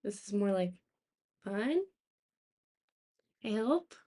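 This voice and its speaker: Raspy young woman, slow and halting. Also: medium pitch, animated, mellow. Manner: halting, rambling, thinking aloud. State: sick with a sore throat. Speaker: live streamer. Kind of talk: casual chat with live stream viewers. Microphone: laptop, without usual microphone.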